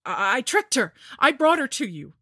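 A woman's voice: high voice, big pitch swings, normal speed, sharp tone, dry recording, studio quality, voice pushed up, full character voice. A woman doing a stammering, panicky young snitch. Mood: panicked. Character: cowardly young male servant, whiny snitch.

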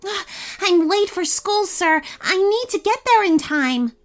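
A woman's voice: very high voice, expressive, unhurried, faint music behind, voice pushed up. A woman voicing a polite, eager young schoolboy in a children's story, earnest and easily flustered, with little stammers. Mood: anxious.

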